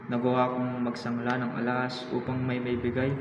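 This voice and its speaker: A man's voice: mid-range voice, completely flat delivery, fairly quick, natural voice. A man with a Filipino accent playing an earnest young national hero, narrating his own travels in a student radio drama. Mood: earnest, solemn. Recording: muffled, noisy, echoey hall recording.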